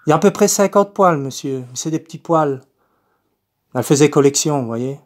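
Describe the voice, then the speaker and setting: A man's voice: fairly high pitch, lively intonation, brisk, natural voice. A man voicing a confused, polite eighty-year-old woman with a Belgian French accent, hesitant and repetitive, as in a prank phone call. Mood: rambling, earnest.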